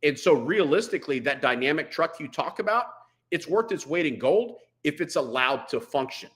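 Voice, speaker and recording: A man's voice: a little high, lively intonation, moderate pace, fairly bright tone. A man speaking as a veteran firefighter in a conversational interview, with a blunt, colloquial and emphatic manner. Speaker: veteran firefighter, podcast guest.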